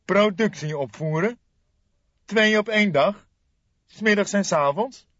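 A man's voice: sits high, measured pace, fairly bright tone, no echo, muffled recording, pitched above the performer's own voice. A man voicing a brash, mocking police photographer in an audiobook crime story. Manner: sneering, taunting.

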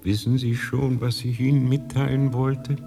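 A man playing an ancient, fatherly high lama in a radio drama, speaking in a solemn, deliberate storytelling manner. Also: level tone, gravelly, mellow, soft background music, close to an everyday voice. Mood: gentle, knowing. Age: older. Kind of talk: 1980s German radio drama acting. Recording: long reverberant hall.

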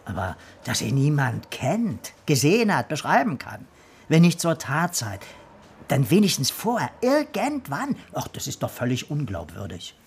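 Cynical male detective, mid-pitched, sardonic, naturalistic radio play with light ambient noise. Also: wildly swooping pitch, normal speed, creaky. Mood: scornful, frustrated. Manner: sardonic, scornful. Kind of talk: naturalistic radio drama dialogue.

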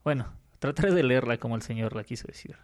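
A man's voice: mid-range voice, fast. A young man hosting a podcast in a radio-show manner, reading out listener questions. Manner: upbeat, reads listener questions aloud. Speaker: podcast host. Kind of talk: scripted radio-style hosting.

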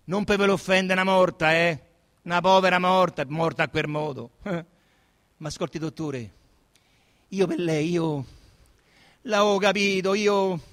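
A man's voice: sits high, fairly even intonation, unhurried, long pauses, fairly bright tone. An actor playing a pleading young man with a Roman accent under police questioning, agitated and insistent, in a theatrical radio drama.

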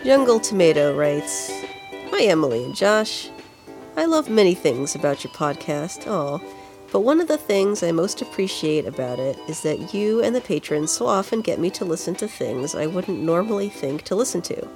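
A woman's voice: lowish voice, very animated, normal speed, over music. A woman with a congested, nasal voice co-hosting a podcast in a casual, chatty style. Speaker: podcast co-host. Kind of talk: casual video game music podcast chat.

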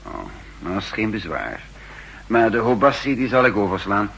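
A guarded, wry spymaster, faint hum. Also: male voice, lowish voice, big pitch swings, moderate pace, gravelly, fairly bright tone, full character voice, low-quality recording. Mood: affable, feigned ease. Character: male undercover spymaster, guarded, wry, outwardly genial. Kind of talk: 1960s Dutch radio drama acting and first-person narration. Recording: 1960s radio recording, faint hum and noise.

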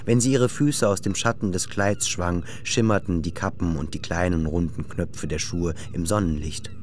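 A man's voice: low voice, fairly even intonation, normal speed, slight background noise. A man narrating a radio drama in a scripted literary style as its storyteller. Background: music.

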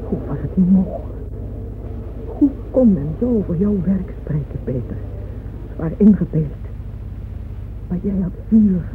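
A woman's voice: fairly low pitch, lively intonation, measured pace, pauses often. An actress playing a proud, yearning, wealthy middle-aged mother who narrates intimately and fervently in a radio drama. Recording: muffled phone-like old radio recording, faint noise.

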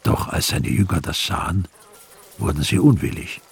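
An older man playing a grave Evangelist, narrating scripture with solemn, measured delivery in a radio drama. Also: low voice, gravelly, breathy edge, full character voice. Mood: grave, hushed. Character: grave old male Evangelist recounting the Passion.

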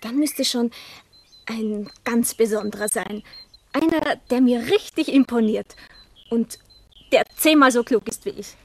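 A woman's voice: fairly high pitch, unhurried, darkish tone. A woman playing an affectionate, coquettish teenage schoolgirl in a radio play, with a playful, hesitant manner. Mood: coy, affectionate. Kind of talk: German radio play acting.

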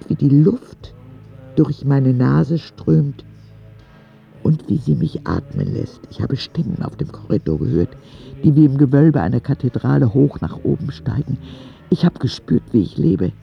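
A man's voice: medium pitch, creaky, highs cut off, full character voice. A man playing a dying ten-year-old boy in a radio drama, reading candid, wondering letters to God in an intimate, reflective way. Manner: slow, reflective, with expressive swings.

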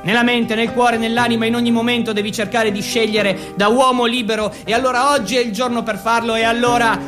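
A man's voice: very high voice, flat delivery, a little fast. Guy doing a fervent preacher, theatrical sermon, repeats himself like a chant, music behind. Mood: solemn, exhorting. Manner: incantatory, repeating refrains. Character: fervent male funeral preacher, solemn, goading and insistent.

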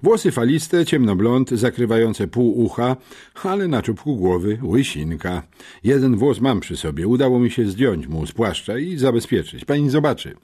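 Guy doing a earnest nerdy schoolboy detective for an audiobook, super exact, voice jumps around, bit husky. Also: mid-range voice, big pitch swings, normal speed, mellow, pitched above the performer's own voice. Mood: eager, precise. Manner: meticulous, matter-of-fact, eager. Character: earnest, pedantic, talkative schoolboy amateur detective. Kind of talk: audiobook character reading.

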